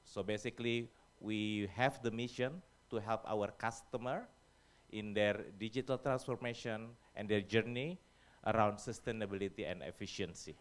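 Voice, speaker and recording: A man's voice: on the low side, fairly even intonation, moderate pace. An Indonesian man speaking at a conference, talking hesitantly with many fillers and informal English. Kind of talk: presentation talk. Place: conference hall.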